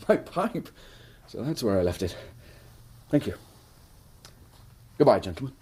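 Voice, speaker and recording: An actor playing a troubled, grateful gentleman client in a radio drama, polite and slightly hesitant. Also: medium pitch, big pitch swings, slow, a little hiss or hum. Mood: grateful, flustered.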